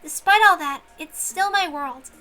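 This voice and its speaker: A woman voicing a polite young servant girl, humble but resolute, with soft, courteous, earnest speech. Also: very high-pitched, a little slow, faint music behind, pitched above the performer's own voice, full character voice. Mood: politely resolute.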